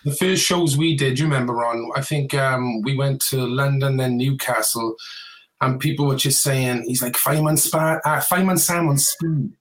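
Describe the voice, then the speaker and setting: A man's voice: mid-range voice, moderate pace, low-quality recording. A Welsh man hosting a casual podcast, talking with an effusive, enthusiastic manner and plenty of fillers. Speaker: podcast host, band musician.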